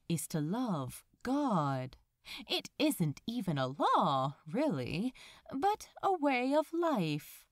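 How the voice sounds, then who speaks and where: A woman reading fiction aloud as a narrator, in an expressive, measured storytelling manner. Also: mid-range voice, dry recording, clean studio sound. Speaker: podfic narrator.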